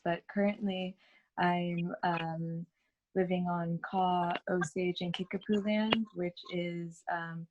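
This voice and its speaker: Eager woman, lowish voice, lots of fillers. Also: slight background noise, poor audio. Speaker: textile artist and dancer. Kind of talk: casual video call chat. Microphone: video call.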